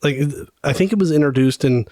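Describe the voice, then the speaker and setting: A man's voice: fairly high pitch, fairly quick, warm tone, no room sound. Casual male podcast co-host, close dry sound. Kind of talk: casual fan podcast chat.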